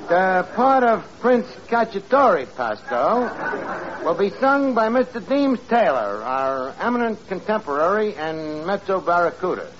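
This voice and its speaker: A man's voice: high voice, sing-song, unhurried, a crowd in the background. An actor in a 1940s radio comedy playing a brash, uneducated bar manager who mangles grammar and big words as he wisecracks. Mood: mock grand. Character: brash, uneducated wise-guy bar manager with grand pretensions. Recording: old muffled noisy radio recording, loud audience.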